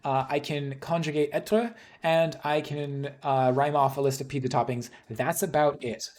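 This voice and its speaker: A man's voice: on the high side, steady tone, moderate pace, a little mellow. A man with a Canadian accent hosting a casual livestream interview in a chatty, reassuring manner. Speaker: host interviewing game developers.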